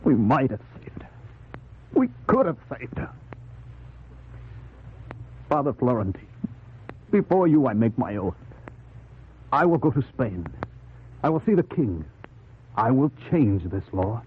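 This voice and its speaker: A man's voice: medium pitch, very animated, measured pace, long pauses, slight background noise. An actor playing an impassioned, indignant young man of the Spanish colonies in a fervent 1940s radio drama style. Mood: indignant, vowing. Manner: fervent, declamatory. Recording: tinny, muffled old radio recording.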